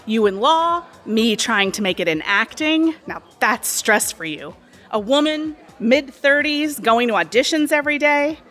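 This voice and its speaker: Bright, animated, sly cocktail waitress. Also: female voice, on the high side, unhurried, faint music behind. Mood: chatty, griping. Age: 30s. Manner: breezy, familiar, insinuating, talkative. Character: pushy, chatty, sly cocktail waitress and aspiring actress, mid-thirties. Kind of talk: audio drama acting.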